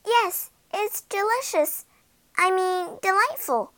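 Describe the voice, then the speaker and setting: A woman's voice: very high-pitched, animated, slow pace, a bit bright, dry recording, pitched above the performer's own voice, full character voice. A woman voicing a polite, anxious yet brave young girl in a children's storybook, speaking earnestly and a little hesitantly. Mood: polite, nervous.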